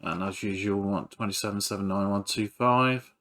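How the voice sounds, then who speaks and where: A man's voice: fairly low pitch, steady tone, fairly quick, a bit bright. A man giving casual, hands-on repair commentary as he works, hesitant and thinking aloud. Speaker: electronics repairer presenting a video.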